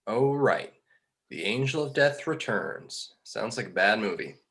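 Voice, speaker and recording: A man playing a skeptical, bookish fallen angel in a film script table read, articulate and measured. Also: lowish voice, lively intonation, creaky, a bit bright, heavily acted. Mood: dry.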